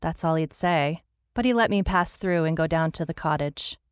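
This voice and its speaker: An actress plays a world-weary female private eye with a low voice. She narrates in a scripted noir audio drama style, dry and deadpan, with wry understatement throughout. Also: normal speed, smooth, no echo, lightly acted. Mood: dry, matter-of-fact. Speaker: narrator, lead actor.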